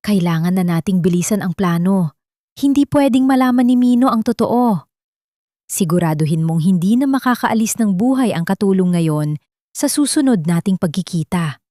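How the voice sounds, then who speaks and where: A woman's voice: mid-range voice, lively intonation, normal speed, warm tone, no room sound, studio quality, close to an everyday voice. A woman voicing a scheming, venomous younger woman in an audio storybook, sweet-talking and insinuating. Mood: secretive plotting. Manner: sugary, insinuating, poisonous. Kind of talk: scripted storybook villain dialogue.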